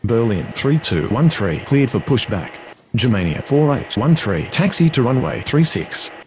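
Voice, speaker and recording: A man's voice: mid-range voice, sing-song, unhurried, a little mellow. A man playing a businesslike air traffic controller, giving formal, clipped scripted instructions. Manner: formal, procedural. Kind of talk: clipped scripted radio phraseology.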